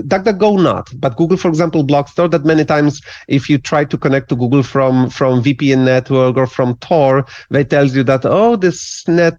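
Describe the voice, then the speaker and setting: A man, an English speaker, explaining things in a podcast interview in a halting way, full of repeated words and restarts. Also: fairly high pitch, a little mellow, dry recording, dull audio. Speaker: guest.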